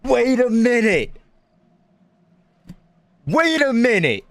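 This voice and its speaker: A man's voice: extremely high pitch, very animated, slow pace, background noise. A man reacting casually to a video game as a streamer.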